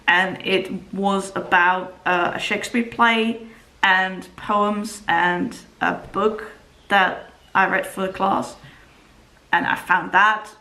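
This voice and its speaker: A woman's voice: mid-range voice, fairly even intonation, slow, pushed and bright. Young Dutch woman in an echoey room. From Netherlands. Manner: conversational, hesitant with fillers and restarts. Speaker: booktuber. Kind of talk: casual vlog talk.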